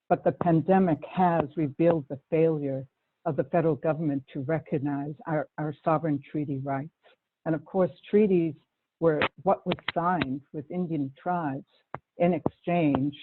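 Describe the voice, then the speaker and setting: Woman, Boston accent, earnest panel remarks, halting restarts, thin phone-like sound, faint hiss. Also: low-pitched. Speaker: panelist, Native community organizer.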